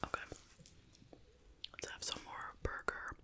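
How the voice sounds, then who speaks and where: A woman speaks entirely in a whisper with a bright, sharp edge. She talks like an ASMR host having an unhurried, casual chat with viewers, softly and conversationally.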